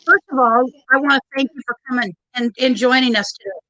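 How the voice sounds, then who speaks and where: Chatty high bright-voiced woman host. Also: quick pace, low-quality recording. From Oregon. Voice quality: loud, big voice. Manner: chatty, nervous, effusive. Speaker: livestream host. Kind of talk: casual livestream chat.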